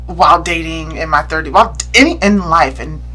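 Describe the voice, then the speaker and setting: A woman's voice: sits low, brisk, slightly rough, soft background music. A woman in her thirties, a podcast guest, speaking candidly and chattily. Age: 30s. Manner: candid, chatty, animated storytelling. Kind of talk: casual podcast chat.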